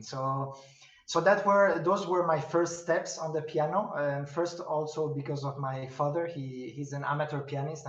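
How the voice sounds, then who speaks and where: A middle-aged man talks slowly through his answers, pausing and restarting as he thinks. His voice sits a bit higher than most men's. He sounds distant and roomy through a dull, muffled video-call line. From Spain. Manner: thoughtful, halting, many fillers and restarts. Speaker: pianist and piano professor.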